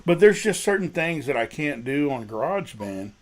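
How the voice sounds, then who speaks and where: A man with a deep voice, a guest musician talking casually and rambling about his recording struggles. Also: sing-song, normal speed, slight background noise. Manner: self-deprecating, rambling, many restarts. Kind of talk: casual livestream chat.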